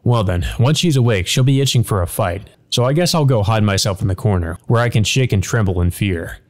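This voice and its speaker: A man plays a cynical shadowy demon servant from a visual novel. The voice is fairly low, flippant and taunting, with music behind it. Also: lively intonation, brisk, natural voice. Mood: smug musing.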